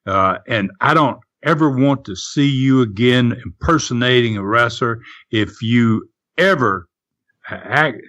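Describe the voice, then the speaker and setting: A man's voice: mid-range voice, expressive, a little slow, a bit bright. A man telling a story and voicing a tough, menacing old-time wrestling promoter who talks in a stern, blunt way. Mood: threatening.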